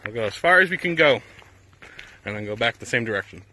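A man's voice: mid-range voice, lively intonation, fast, pushed and bright, slight background noise, low-quality recording. A man in his thirties chatting and joking while hiking a forest trail, filming on a handheld camera. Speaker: vlogger. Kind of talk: casual family hike chat.

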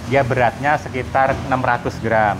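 A man in his thirties talks through his hiking gear in a patient, step-by-step way, pausing often on fillers. His voice sits at a middle pitch. Clear traffic noise from the street runs behind him on an outdoor porch. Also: steady tone. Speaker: hiking community host showing gear. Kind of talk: casual show-and-tell explanation. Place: outdoor porch by a house, seated on the floor. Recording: noisy street ambience with passing cars.

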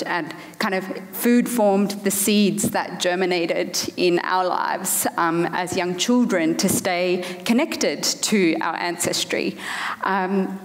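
A woman in her thirties, from Afghanistan with Farsi as her first language, speaking as a panel guest with a thoughtful manner and frequent pauses, on a headset mic on stage. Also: fairly low pitch. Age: 30s. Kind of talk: panel discussion. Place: large hall on stage. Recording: long hall reverb.

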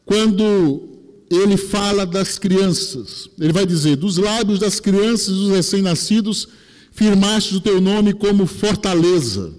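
Middle-aged man, Brazilian accent, very high-pitched voice, pastor preaching, emphatic, long hall reverb. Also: measured pace, a little mellow. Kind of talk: sermon. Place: church pulpit, indoors. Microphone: headset microphone.

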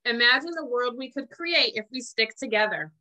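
A woman's voice: a little high, moderate pace, bright, forceful tone, low-quality recording. A woman voicing a cheeky, boastful, chummy germ from a children's picture book. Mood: scolding, persuasive. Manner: bragging, chummy.

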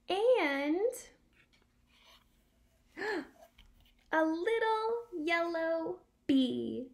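A young woman reading a picture book aloud for small children and teaching signs, in an animated, exaggerated sing-song manner. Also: high-pitched. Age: young adult. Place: small room at home by a window.

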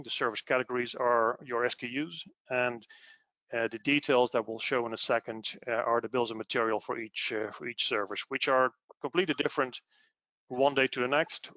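A man explaining business material in a webinar in a measured, halting way over an online call. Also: mid-range voice, steady tone, sharp tone, dry recording. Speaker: webinar presenter, tax expert. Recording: dull lo-fi online audio.

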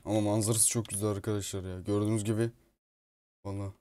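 A man giving excitable, slangy, foul-mouthed gameplay commentary as he chats in a roleplay game. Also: on the low side, quick pace. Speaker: video host playing a roleplay game. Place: indoors at a computer, voice over gameplay. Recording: clear background noise, keyboard clicking.

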